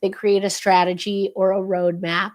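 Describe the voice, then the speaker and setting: Woman, hoarse and crackly, guest author, earnest explainer. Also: mid-range voice, moderate pace. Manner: earnest, explanatory, steady flow. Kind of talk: interview answer explaining a leadership framework.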